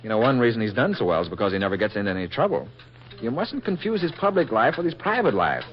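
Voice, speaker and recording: An actor playing a wisecracking, pushy American reporter in a 1940s radio drama, slangy and breezy. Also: male voice, on the low side, sing-song, quick pace. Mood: wry, teasing. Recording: muffled, noisy vintage radio broadcast.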